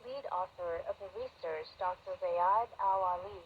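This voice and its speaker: A woman's voice: lowish voice, moderate pace, phone-quality sound. A woman presenter reading scripted news and announcements, heard through a shortwave radio broadcast. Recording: very noisy shortwave radio capture. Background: clear radio static and noise.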